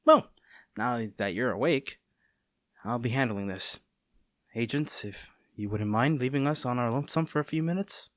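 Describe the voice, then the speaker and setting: A man playing a smug, taunting agent facing a former childhood friend, speaking in a measured, theatrical way in a scripted audio roleplay. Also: mid-range voice, a bit bright, no echo, lightly acted. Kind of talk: dramatic scripted roleplay monologue.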